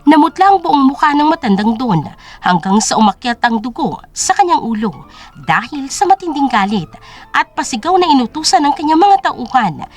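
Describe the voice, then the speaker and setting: Casting: female narrator, high register, very animated intonation, scripted melodrama storytelling. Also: moderate pace. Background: music.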